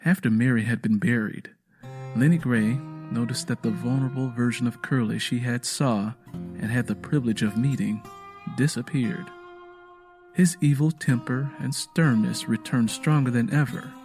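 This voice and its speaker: A man's voice: medium pitch, normal speed, music in the background. A man narrating a scripted dramatic story as its storyteller.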